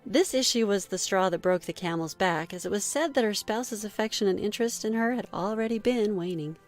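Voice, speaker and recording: A woman reading a scripted first-person story in a measured read-aloud manner. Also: mid-range voice, faint music behind. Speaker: story narrator.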